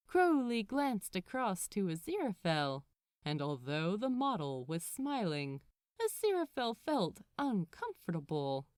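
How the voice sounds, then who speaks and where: Animated, unhurried woman storyteller, dry sound. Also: lowish voice, no room sound. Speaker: fan fiction podfic narrator. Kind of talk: expressive scripted story narration.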